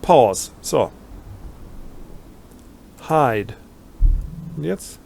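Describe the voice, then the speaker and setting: A man's voice: medium pitch, expressive, extremely slow, vocal fry, darkish tone, a little hiss or hum. A man with a German accent doing a let's play, reading game text aloud and adding wry, ironic asides. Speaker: let's player.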